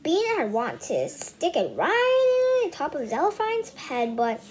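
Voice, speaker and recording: High, animated young girl reading aloud. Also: a little slow. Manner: stumbles over words and repeats phrases. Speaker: read-aloud host. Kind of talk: reading a children's book aloud with casual asides.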